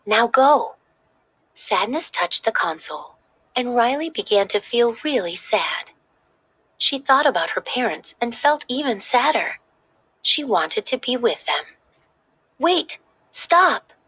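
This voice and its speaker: A woman narrating a children's story in an expressive, dramatic read-aloud style. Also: fairly high pitch, measured pace, husky, poor audio.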